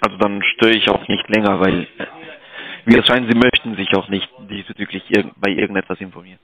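Low-pitched, overly polite male sales caller. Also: fairly even intonation, a little fast, fairly bright tone, dull audio. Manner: deferential, apologetic, hesitant. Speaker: cold-calling sales agent. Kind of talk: polite scripted sales call.

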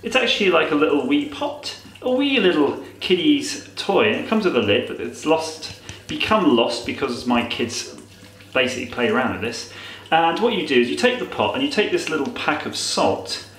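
A man's voice: on the high side, big pitch swings, moderate pace, fairly bright tone. A man in his thirties with a nasal voice, chatting through a casual how-to demo in a small tiled bathroom with a camera close in front, tap water running behind. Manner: chatty, self-mocking, rambling asides. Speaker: vlogger. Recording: echoey bathroom.

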